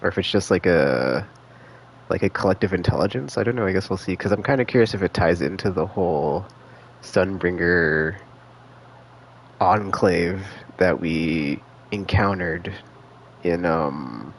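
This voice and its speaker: A man's voice: low voice, measured pace, creaky, a little hiss or hum. A man giving casual live game stream commentary, thinking aloud in a rambling, hesitant, slangy way.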